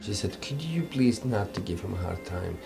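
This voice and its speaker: Low-voiced man over music. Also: expressive, creaky. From Iraq. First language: Arabic. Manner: halting, repeats words, non-native grammar. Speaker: musician interviewee. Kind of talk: reflective personal interview. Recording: music playing underneath.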